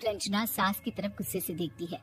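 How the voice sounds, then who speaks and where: A woman's voice: mid-range voice, steady tone, a little fast. A woman reading scripted story narration as the narrator. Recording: music bed behind the voice.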